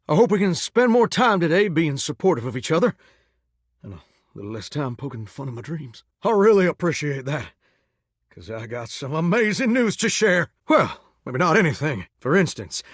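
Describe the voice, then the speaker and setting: A man voicing an earnest, excitable shower come to life as a folksy country singer with dreams, in an American Southern accent, for a video game. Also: high-pitched, dry recording, clean studio sound, heavily acted. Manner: folksy, earnest, flirty drawl with dramatic swoops. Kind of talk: video game character voice acting.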